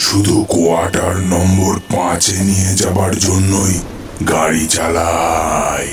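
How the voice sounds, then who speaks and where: An eerie male bullock-cart driver from a horror cartoon, acted by a man. The voice sits very low and moves slowly, stretching words out with ominous intent. Faint music plays behind it. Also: level tone. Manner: slow, drawn-out, ominous delivery.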